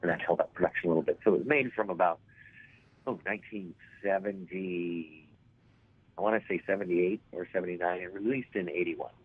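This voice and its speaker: A man in his forties with a raspy, hoarse voice, telling stories as an interview guest and rambling with many fillers and restarts. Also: deep voice, brisk. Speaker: actor guest. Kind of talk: casual interview storytelling. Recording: tinny phone line.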